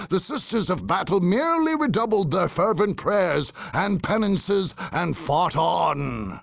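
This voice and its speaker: An actor playing a grave war chronicler, narrating a video game campaign with theatrical, portentous pauses and a husky, gravelly voice. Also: male voice, on the high side, a bit bright, no room sound, studio quality, exaggerated voice. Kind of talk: dramatic scripted game narration.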